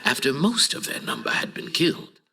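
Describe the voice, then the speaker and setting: A man's voice: mid-range voice, huge pitch swings, moderate pace, gravelly, bright, forceful tone, slightly rough, full character voice. An actor playing an old male archivist who tells war history in a theatrical, conversational storytelling style for an audio drama. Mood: solemn storytelling.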